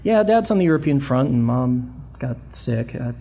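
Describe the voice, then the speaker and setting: A woman's voice: extremely low pitch, animated, normal speed, vocal fry, mellow, slightly rough, heavily acted, low-quality recording. A woman playing a folksy, talkative young delivery boy in a 1940s-style radio adventure. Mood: earnest.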